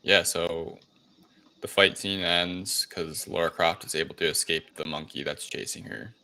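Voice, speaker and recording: A man hosting a casual film discussion, recapping the plot in a loose, halting way with plenty of filler phrasing. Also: low voice, fairly even intonation, pushed and bright. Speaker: podcast host recapping a film.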